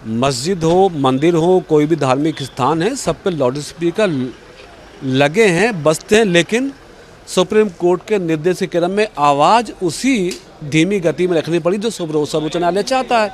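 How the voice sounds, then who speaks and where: A man's voice: fairly high pitch, animated, normal speed, a little hiss or hum. A man with a North Indian accent, a politician giving a spoken reaction to reporters.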